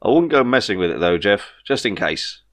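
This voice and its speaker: A man's voice: lowish voice, very animated, fairly quick, a bit bright. A man voicing an easygoing, know-it-all spaceship pilot in an audiobook, chatty and reassuring. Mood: thoughtful, cautious. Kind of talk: audiobook character dialogue.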